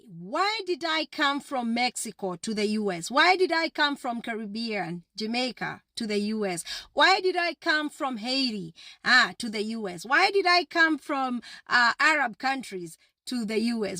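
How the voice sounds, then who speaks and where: A woman's voice: high-pitched, bright, forceful tone, no echo, clean studio sound. A woman giving a motivational address to her viewers in an emphatic, repetitive, preacher-like manner. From Kenya. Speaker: vlogger.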